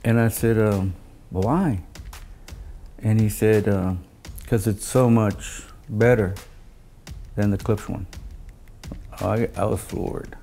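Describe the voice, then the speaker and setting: An older man telling stories in a casual, halting way, as an engineer looking back in an interview. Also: fairly low pitch, steady tone, vocal fry, mellow, soft background music. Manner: halting, anecdotal storytelling with quoted dialogue. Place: living room of a home, indoors.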